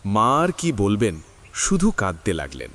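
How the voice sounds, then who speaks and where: Expressive male narrator. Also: on the low side, measured pace. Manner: expressive storytelling. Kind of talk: scripted literary narration.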